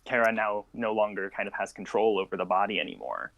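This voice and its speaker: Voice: sits low, animated, fast, gravelly, narrow, tinny audio. A podcast co-host talking casually and thoughtfully, with an agreeable, informal manner. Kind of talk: casual discussion of a fan story.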